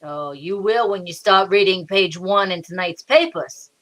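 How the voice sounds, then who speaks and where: A woman's voice: lowish voice, a little slow, a bit bright. A woman playing a world-weary, wisecracking male homicide inspector in an old-time radio detective drama style. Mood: urgent, exasperated. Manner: sardonic, hard-boiled patter. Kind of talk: 1940s radio detective acting.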